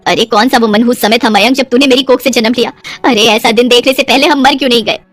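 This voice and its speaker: A woman's voice: fairly high pitch, steady tone, quick pace, a bit bright, no room sound, soft background music. A woman voicing an exasperated middle-aged mother-in-law in a story, scolding and lamenting dramatically.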